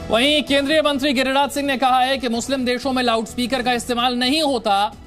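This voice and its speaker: A man's voice: very high-pitched, steady tone, fast talker, a bit bright. A man presenting television news as an anchor, explaining developments directly to viewers. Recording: music bed under the voice.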